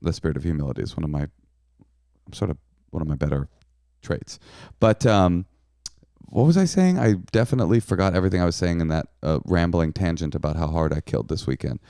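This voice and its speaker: Rambling man, slightly hoarse voice. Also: sits low, lively intonation, a little fast, warm tone, no room sound, studio quality. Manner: rambling, self-mocking with mock bravado. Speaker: podcast co-host. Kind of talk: casual comedic chat.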